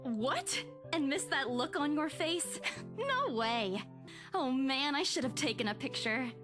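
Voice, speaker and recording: A woman's voice: high voice, measured pace, music in the background. A woman playing a feisty young woman in a visual novel game, teasing and scolding an exasperating friend.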